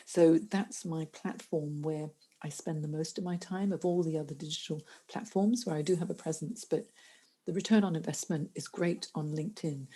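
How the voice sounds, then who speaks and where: A middle-aged woman speaks as a careers coach on a podcast. Her voice sits low and mellow, with a slightly husky grain. She talks earnestly and often restarts her sentences. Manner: earnest, many restarts and fillers. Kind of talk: conversational podcast interview.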